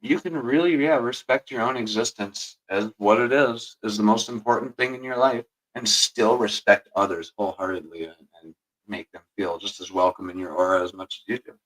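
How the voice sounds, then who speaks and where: A man co-hosting a podcast, with a deep voice and a laid-back, slangy way of talking. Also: moderate pace, a bit bright, low-quality recording. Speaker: podcast co-host. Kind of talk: casual podcast chat.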